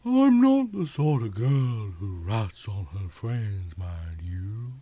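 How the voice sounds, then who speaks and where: A man's voice: fairly low pitch, very animated, slow, mellow, no room sound, voice pushed down, exaggerated voice. A man voicing a flirty red-haired woman in her thirties who speaks with a thick southern drawl. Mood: coy.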